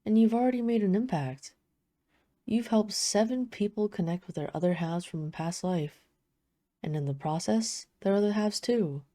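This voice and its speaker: A woman playing a poised, flirtatious vampire, speaking softly and teasingly in an intimate audio roleplay. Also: mid-range voice, moderate pace, dry recording, close to an everyday voice. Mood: gentle, admiring. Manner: soft-spoken, teasing, smooth formal phrasing. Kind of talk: intimate scripted audio roleplay addressed to the listener.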